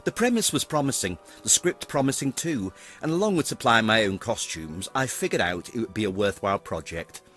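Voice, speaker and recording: A man's voice: fairly low pitch, very animated, brisk, hardly any pauses, a bit bright, faint music behind. An older British man giving a scripted retrospective narration in a wry, theatrical, wordy manner. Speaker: pantomime performer narrating career retrospective.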